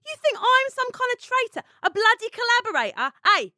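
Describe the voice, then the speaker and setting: An actress in an audio drama playing a brash, hard-drinking, sharp-tongued woman who is blunt and indignant. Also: very high voice, very animated, a little fast, no room sound, studio quality, heavily acted. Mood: accusing, defensive.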